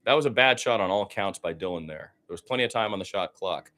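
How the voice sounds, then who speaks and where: A man's voice: fairly low pitch, fast. A man doing live radio play-by-play of a basketball game in short, clipped phrases that rise with the action. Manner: narrates action in short clipped phrases, rising excitedly on big plays.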